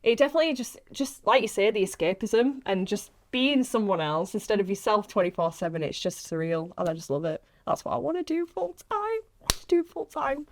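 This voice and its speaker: A woman talks in a casual podcast chat. She is chatty and self-deprecating, with plenty of informal slang, in a mid-pitched voice. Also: lively intonation, a little fast, hardly any pauses. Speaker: actress interviewing a fellow actress on a podcast.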